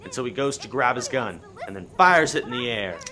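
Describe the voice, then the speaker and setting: A man narrating a scripted comic murder mystery as animated storytelling, with noise in the background. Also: mid-range voice, brisk, close to an everyday voice. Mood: excited. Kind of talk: scripted radio play narration.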